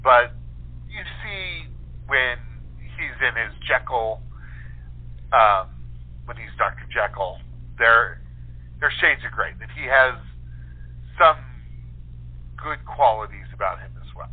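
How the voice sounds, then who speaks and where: A man with a medium-pitched voice talks casually as an interview guest. He explains things thoughtfully, in halting phrases, and comes through on a narrow, tinny telephone line. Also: expressive. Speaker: guest, playwright and actor.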